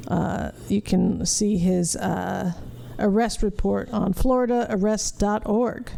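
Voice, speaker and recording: Slow, creaky middle-aged woman podcaster. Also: medium pitch, steady tone, warm tone. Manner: blunt, profane. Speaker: podcast host. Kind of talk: casual watch-along commentary.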